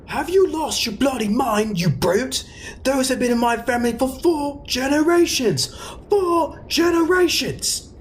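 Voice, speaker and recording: A woman's voice: high-pitched, lively intonation, measured pace, a little hiss or hum. A woman playing an indignant pony wagon owner in a fan audio drama, scolding in outrage. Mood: outraged. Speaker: voice actor.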